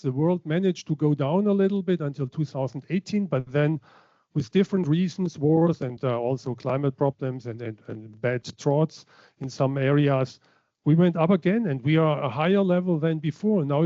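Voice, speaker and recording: A man's voice: a little high, warm tone, no room sound, dull audio. Man giving a slide lecture. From Germany. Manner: hesitant, many fillers and restarts. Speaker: keynote speaker.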